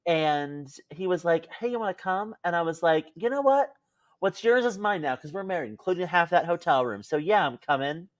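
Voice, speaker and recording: Lower-pitched, very expressive young American woman. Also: normal speed, no room sound. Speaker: podcast co-host. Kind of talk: casual chat, storytelling.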